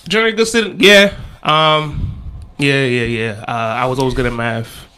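A man's voice: medium pitch, moderate pace, clean tone. A man in his thirties from the D.C. area, chatting casually on a podcast, with loose slangy phrasing and many restarts. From Washington, D.C. area. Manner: relaxed, repetitive restarts, slangy. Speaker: podcast guest and co-host.

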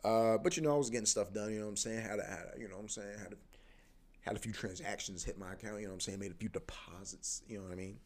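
A man's voice: fairly low pitch, steady tone, racing, a little mellow. A young man hosting a solo podcast, rambling in a laid-back slangy way and saying "bro" and "you know what I mean" all the time. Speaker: podcast host; comedy show host.

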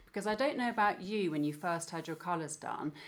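A woman's voice: lowish voice, animated, fairly quick. A middle-aged woman telling her story as an interview guest, enthusiastic. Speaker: guest, company founder. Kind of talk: interview answers.

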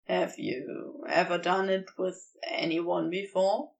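Woman as a wry, slow-talking demon man. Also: on the low side, steady tone, creaky, far from the mic, voice pushed down. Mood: teasing. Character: wry male demon, guarded but secretly tender.

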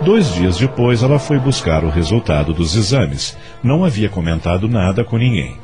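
A man's voice: fairly low pitch, sing-song, normal speed, over music. A man narrating a radio soap opera in scripted storytelling style.

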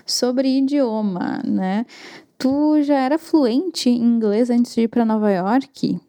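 A Brazilian woman with a mellow, fairly high voice hosts a casual chat. She talks in a friendly, animated, chatty way as she puts questions to her guest. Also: slow. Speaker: host asking viewer questions. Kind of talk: casual conversation.

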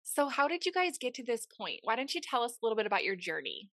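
This is a woman hosting a faith-based podcast with eager enthusiasm, her voice bright and slightly high. She tells stories warmly and at length. The sound is close and dry, with faint music behind. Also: sing-song, quick pace, no room sound. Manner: enthusiastic, storytelling. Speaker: podcast host.

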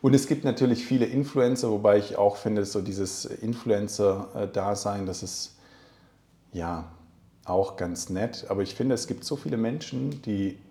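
Woman podcast host, super deep gravelly voice, stops to hunt for words, echoey room. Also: unhurried, mellow. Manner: hesitant, searching for words. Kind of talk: casual interview chat. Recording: roomy echo.

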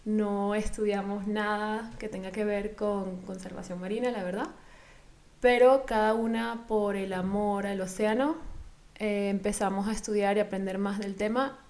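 A woman's voice: mid-range voice, steady tone, normal speed, darkish tone. A woman from Venezuela with a Venezuelan accent, speaking as an interview guest in a casual, explanatory way. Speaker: interview guest, co-founder of a volunteer project.

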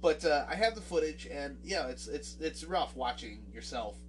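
A man's voice: a little high, animated, moderate pace, recorded from a distance, slight background noise. Nasal-voiced man rambling a little off-mic. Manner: rambling, self-deprecating, frequent fillers and restarts. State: swollen busted lip. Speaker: podcast host. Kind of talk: casual solo podcast talk.

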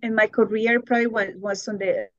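A woman, answering interview questions warmly and pausing to search for words. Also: medium pitch, steady tone, no room sound, highs cut off. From Colombia. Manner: warm, searching for words, laughs often. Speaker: guest.

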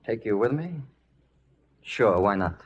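An actor playing a bitter, restless gunslinger in a 1940s radio western, speaking curtly and sardonically. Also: male voice, fairly low pitch, animated, slow pace, highs cut off, slight background noise. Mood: sardonic, reckless. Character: bitter, restless Old West gunslinger called Doc.

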